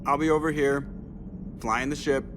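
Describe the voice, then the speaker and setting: An actor playing a cheeky, excitable starship pilot in a video game, joking and sarcastic with a creaky voice. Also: male voice, on the high side, moderate pace, background noise, lightly acted. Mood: flirty, teasing. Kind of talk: video game dialogue acting. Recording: clear ship ambience behind voice.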